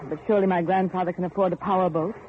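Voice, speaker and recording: An actress playing an anxious, insistent young heiress in vintage radio horror, questioning with pleading, melodramatic urgency. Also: female voice, on the low side, fairly even intonation, rapid-fire, natural voice. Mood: puzzled, frustrated. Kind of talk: 1940s radio horror acting. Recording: noisy muffled old radio recording.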